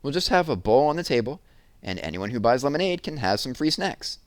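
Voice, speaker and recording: A man's voice: medium pitch, very animated, a little fast, dry recording, pitched above the performer's own voice, close to an everyday voice. A man voicing an eager, clever young schoolgirl in a storybook, earnestly explaining things.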